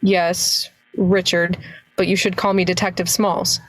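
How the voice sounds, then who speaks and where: A woman's voice: fairly low pitch, level tone, measured pace, no echo, rough recording. A woman playing an earnest, wry young small-town male detective in an amateur radio play. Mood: insistent.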